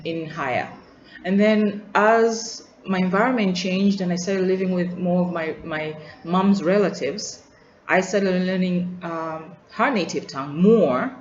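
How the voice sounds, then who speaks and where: A woman's voice: fairly low pitch, darkish tone. A young woman from Tanzania with a Tanzanian English accent, thinking aloud in an unscripted vlog, hesitant and full of restarts and trailing asides. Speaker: student vlogger. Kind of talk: reflective unscripted monologue. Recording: roomy, dull lo-fi sound with faint hiss.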